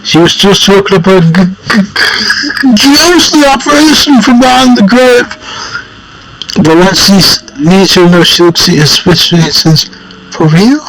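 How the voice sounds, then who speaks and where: A man with a slightly high voice reads a text adventure game aloud. He makes amused side comments as he goes. He clears his throat now and then and rereads phrases he stumbles over. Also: lively intonation, normal speed, a bit bright, poor audio. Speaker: game player reading aloud.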